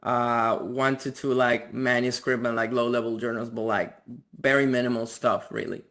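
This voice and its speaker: A man's voice: medium pitch, level tone, moderate pace, a bit bright. A man in his thirties from the Dominican Republic, talking casually as an interview guest and often repeating words. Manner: repeats words, frequent "like" and "you know".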